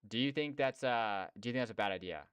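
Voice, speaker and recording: Crisp-voiced young man rambling casually. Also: mid-range voice, lively intonation, fast talker, a bit bright, dry recording. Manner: slangy, rambling with many fillers. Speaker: streamer and game developer. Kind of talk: casual chat.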